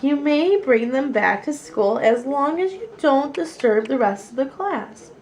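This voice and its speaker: A woman voicing a kindly, appreciative male schoolteacher in a storybook, in a gentle, admiring manner. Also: sits high, animated, a little slow, voice pushed up.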